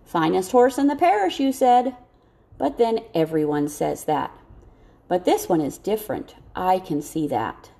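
Storybook role: woman as an elegant cavalry gentleman officer, kindly, brisk and sure of himself, creak in the voice. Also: fairly low pitch, sing-song, full character voice. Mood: pleasantly surprised. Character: elegant, kindly, self-assured male army officer.

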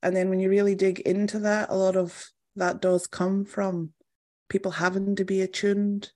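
Unhurried woman hosting an interview. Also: mid-range voice, flat delivery, dry recording, clean studio sound. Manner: unhurried, warm interviewer full of "wow" and "yeah". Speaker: podcast host. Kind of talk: interview chat.

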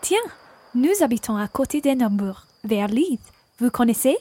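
A woman's voice: a little high, animated, moderate pace, dark tone, slightly rough. A woman playing an assertive, teasing wife on holiday in a radio play for language learners. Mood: friendly. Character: assertive Scottish wife on holiday, bickering over directions.

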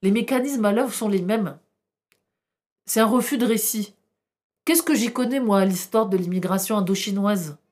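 A middle-aged woman historian talks with passion in a podcast interview. She asks rhetorical questions and pauses often with hesitations. Her voice sits at an ordinary middle pitch. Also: darkish tone. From France. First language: Arabic. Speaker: historian guest.

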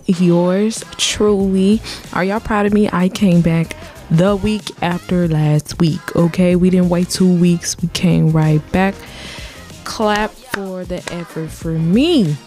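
A young woman hosting her own podcast in casual, playful chat, full of slang, her voice a little deep lately. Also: mellow. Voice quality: voice sounding a bit deep lately. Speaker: podcast host.

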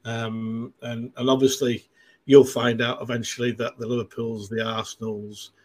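A man in his sixties with a broad Mancunian accent and a husky, breathy edge to his voice. He rambles through casual chat, stopping and restarting often with plenty of ums. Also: medium pitch, level tone, moderate pace. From Manchester, England. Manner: rambling, with many fillers and restarts. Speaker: guest.

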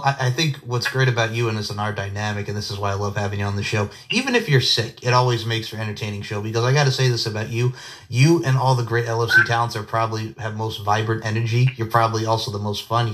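Young guy hosting a podcast, talks super fast, hyped and gushing, says the same stuff twice. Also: lowish voice, fairly bright tone. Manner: hyped, effusive, repeats himself. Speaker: podcast host. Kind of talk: casual interview chat.

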